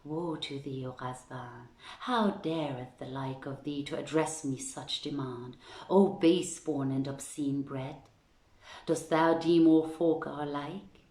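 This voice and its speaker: A proud Arabian-tale princess read by a woman declaims her refusals in archaic language. The voice is low and slow but emphatic and defiant, ringing in an echoey room. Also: dark tone. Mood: anguished, indignant. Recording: roomy home livestream echo.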